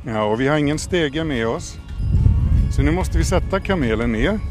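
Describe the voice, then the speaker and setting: An older man who runs a camel ranch, talking in an easygoing, halting way outdoors. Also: fairly high pitch, over music. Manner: relaxed, hesitant with many pauses and chuckles. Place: outdoors in a field. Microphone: handheld mic held by interviewer.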